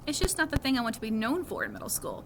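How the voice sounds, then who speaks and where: A woman's voice: a little high, wildly swooping pitch, fast, a bit bright. A woman reading a children's novel aloud as a first-person story. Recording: clearly noisy background.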